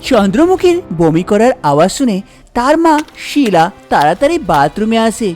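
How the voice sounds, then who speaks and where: A woman's voice: medium pitch, very animated, normal speed, a little mellow, soft background music. A woman narrating a scripted cartoon folk tale. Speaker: storyteller, narrator.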